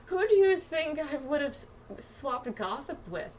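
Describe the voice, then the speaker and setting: A woman voicing a wistful, gentle young clanswoman from a fantasy game, reading the dialogue aloud a little haltingly. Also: high voice, big pitch swings, far from the mic, a little hiss or hum, voice pushed up. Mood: curious, teasing.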